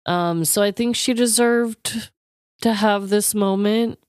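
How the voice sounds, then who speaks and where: Female podcast co-host with a clean, smooth tone and slowish delivery; heartfelt, supportive, casual, recorded dry. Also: mid-range voice, no room sound. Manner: affectionate, supportive, informal.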